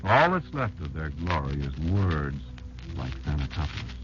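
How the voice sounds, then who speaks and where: Very deep-voiced, wary old creature. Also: male voice, very animated. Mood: bitter, wry. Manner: confiding, folksy, deliberate dramatic pauses. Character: wary old insect elder telling a child grim survival tales. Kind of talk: old-time radio drama monologue. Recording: dull lo-fi old radio recording. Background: prominent music.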